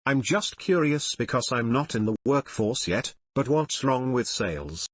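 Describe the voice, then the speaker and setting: A man narrates scripted online stories and reader comments. His voice sits at an average pitch with a husky, slightly rough edge. The sound is dry, with very little room around it. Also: expressive, a little slow, no echo. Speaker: narrator reading online forum posts and comments.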